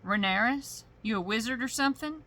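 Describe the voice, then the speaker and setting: A woman's voice: fairly high pitch, expressive, fairly quick. A woman voicing a defensive, overworked male village sheriff in a thick put-on drawl during a bedtime story reading. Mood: sneering. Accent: put-on thick rural drawl. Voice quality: loud, indignant, rising when challenged.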